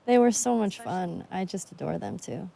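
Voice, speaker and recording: Woman, vocal fry, mellow dark tone, conversational commentary. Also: medium pitch, normal speed. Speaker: actress from the game giving commentary. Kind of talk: casual chat commentary.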